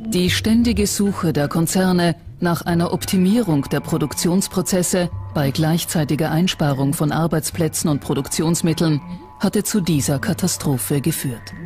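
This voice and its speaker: A narrator with a mid-pitched voice delivers scripted documentary narration. Music plays clearly behind the voice throughout. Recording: narration over prominent music. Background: background music.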